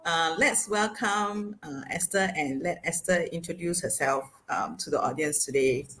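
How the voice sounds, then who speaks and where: Casting: singaporean woman host, somewhat low voice, animated and chatty, many fillers. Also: moderate pace, slight background noise. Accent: Singaporean English. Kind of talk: casual interview chat. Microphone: video call.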